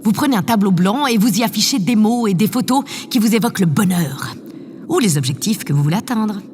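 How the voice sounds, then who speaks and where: Woman acting an animated, imperious lady president. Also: mid-range voice, a little fast, natural voice. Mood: lecturing, smug. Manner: condescending, theatrical, commanding. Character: imperious, self-absorbed, patronising female president. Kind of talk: scripted audio drama acting. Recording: long hall reverb, faint noise. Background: faint ambient noise.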